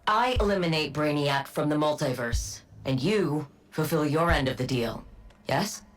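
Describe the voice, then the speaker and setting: An actress playing a cold, calculating scientist who speaks in a clipped, serious way in a video game cutscene. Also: female voice, sits low, animated, moderate pace, a little hiss or hum. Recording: game music behind.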